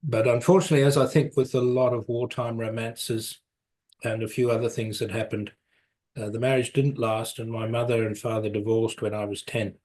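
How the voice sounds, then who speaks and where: A man's voice: mid-range voice, flat delivery, brisk, a little mellow. A man, telling his life story as an interview guest, rambling and correcting himself with light chuckles. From South Africa, raised in New Zealand. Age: 70s.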